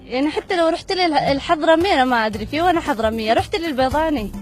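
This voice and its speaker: High, quick woman guessing. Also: animated, loud music behind the voice. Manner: puzzled, guessing aloud, laughing. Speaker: interviewee.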